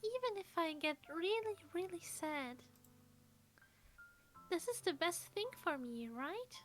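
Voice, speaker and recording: A woman's voice: very high voice, lively intonation, takes it slowly, fairly bright tone, soft background music, full character voice. A woman voicing a shy, sad teenage anime girl in love in a visual novel, with a tender, hesitant delivery. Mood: anxious, fragile.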